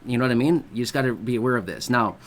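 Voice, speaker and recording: A man's voice: mid-range voice, very fast, a little hiss or hum. A man hosting an online video, talking casually as he explains and often checks in with his listeners. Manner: conversational, keeps checking in with the listener. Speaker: video host explaining benefits.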